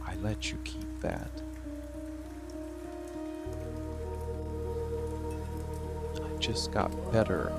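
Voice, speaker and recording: Man acting a gravelly, slow-murmuring monster boyfriend. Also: full character voice. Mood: calm, ominous. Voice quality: low, gravelly, breathy murmur often near a whisper. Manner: slow, deliberate delivery with long pauses. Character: possessive dark monster boyfriend, hidden protective watcher. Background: rain and music.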